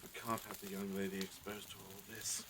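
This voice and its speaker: A man's voice: low-pitched, little pitch movement, fairly quick, fairly bright tone. A man playing an anxious, courteous villager, noisy backdrop. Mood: uneasy, polite. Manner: hesitant, polite, old-fashioned formal phrasing. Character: anxious, courteous village husband and father in plague times. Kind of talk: period audio drama acting.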